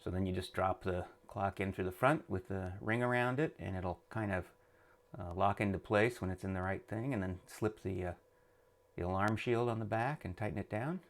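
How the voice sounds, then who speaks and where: Man, deep voice, casual tutorial host, hesitant delivery, thinking aloud while working. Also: moderate pace. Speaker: host of a repair tutorial channel. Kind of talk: casual hands-on how-to commentary.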